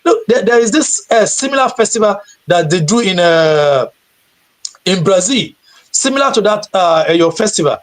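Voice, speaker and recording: A man's voice: high-pitched, expressive, moderate pace, low-quality recording. A man from Nigeria, a guide and teacher, answering questions earnestly on a video call, often repeating words as he searches for them. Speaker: guest tour guide and teacher. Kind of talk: live stream interview answer.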